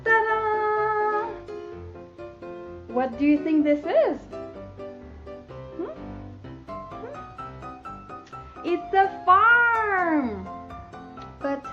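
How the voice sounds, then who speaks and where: A woman hosting a children's storytime, reading a picture book in a playful, expressive sing-song way for very young children. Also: sits high, dull audio. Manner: imitates animal sounds.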